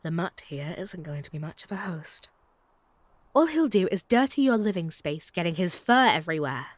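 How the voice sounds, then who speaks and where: A woman's voice: lowish voice, very animated, moderate pace, dry recording. A woman playing a possessive, haughty female vampire in a comedic roleplay audio drama, taunting and doting in a theatrical way. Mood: doting, boastful.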